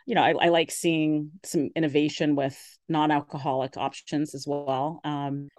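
A woman restaurant columnist chatting on a radio interview, full of "like" and "you know" fillers, with an enthusiastic tone whose pitch swings up and down. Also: flat delivery, moderate pace, darkish tone, no echo, studio quality. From based in San Francisco area. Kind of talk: casual radio interview chat. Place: radio studio.

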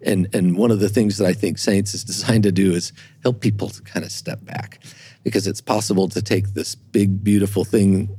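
A man with a low, soft-edged mellow voice talks as a guest expert in a discussion. He feels his way through sentences, repeating and rephrasing as he explains. The sound is close and dry. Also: breathy edge, no room sound. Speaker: guest church historian.

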